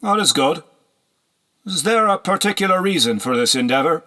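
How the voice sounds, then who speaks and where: A man's voice: on the high side, expressive, normal speed, pushed and bright, pitched above the performer's own voice. A man reading a storybook, voicing a cold, sly nobleman on horseback who speaks in a brusque, commanding, probing way. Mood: sly interest.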